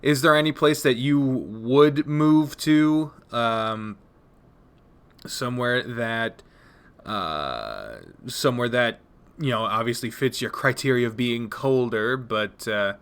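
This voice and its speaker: Bright-voiced, excitable swearing host. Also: male voice, medium pitch, takes it slowly, clean tone. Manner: excitable, swears freely, laughs often. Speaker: interview host. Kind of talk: casual interview chat.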